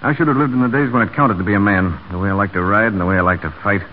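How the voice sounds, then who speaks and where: An actor playing a brash, brooding, lovestruck stable hand, blunt and earnest, in a 1940s radio drama. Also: male voice, on the low side, steady tone, rapid-fire, lightly acted. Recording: tinny, narrow 1940 radio broadcast.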